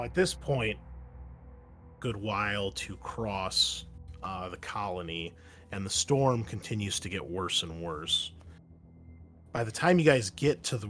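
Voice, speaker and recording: A man's voice: on the low side, fairly bright tone, a little hiss or hum. A man narrating as game master of a tabletop role-playing game, rambling a bit with fillers as he describes the scene. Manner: loose, rambling with many fillers.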